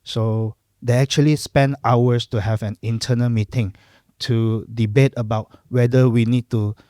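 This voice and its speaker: A middle-aged Malaysian man whose first language is Cantonese, chatting in a relaxed, reflective way as a podcast guest. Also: medium pitch, measured pace, dry recording, clean studio sound. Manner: relaxed, reflective, lots of fillers and "lah" particles. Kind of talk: casual interview chat.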